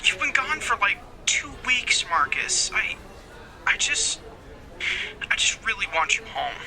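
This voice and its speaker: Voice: medium pitch, big pitch swings, a little slow, sharp tone, heavily acted, poor audio. An actor playing a worried, affectionate partner, heard through a telephone filter in an audio drama. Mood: worried, tender. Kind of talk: audio drama phone dialogue.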